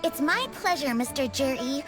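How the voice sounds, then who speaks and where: High-voiced, courteous woman shopkeeper. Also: lively intonation, measured pace, music in the background. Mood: courteous, eager. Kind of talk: video game voice acting.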